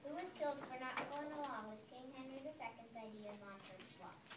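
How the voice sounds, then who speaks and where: A brisk game show host played by a woman in an amateur readers' theater history quiz. The voice is high, heard from far off with noticeable noise around it. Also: lightly acted. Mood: brisk, official. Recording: echoey, noisy room, distant microphone.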